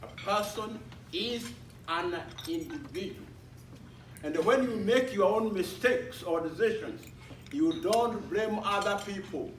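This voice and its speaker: A man from Kenya with a Kenyan accent, giving an informal talk to a club in a halting way, repeating phrases. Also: sits high, animated. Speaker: visiting speaker. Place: breakfast meeting hall. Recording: echoey room recorded from far off, noisy.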